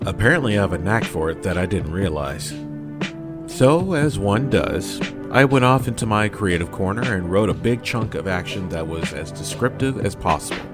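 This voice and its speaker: A man with a fairly low voice and very lively intonation speaks over clear background music. He delivers a scripted message like a voice actor, theatrical and playfully mock-grumpy. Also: moderate pace. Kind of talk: scripted personal message to listeners. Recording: music behind the voice.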